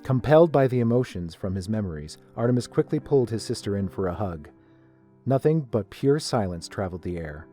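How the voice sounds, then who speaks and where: A man's voice: lowish voice, big pitch swings, brisk, dark tone. A man narrating a scripted story as the narrator. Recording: dry voice with music behind.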